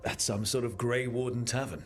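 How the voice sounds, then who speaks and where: A man's voice: mid-range voice, steady tone, normal speed, faint music behind, lightly acted. A man playing a supportive team leader in a fantasy game, earnest with a lightly wry edge. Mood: inquiring, wry. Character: steady, supportive male hero leading a team, wry. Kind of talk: cinematic fantasy game dialogue.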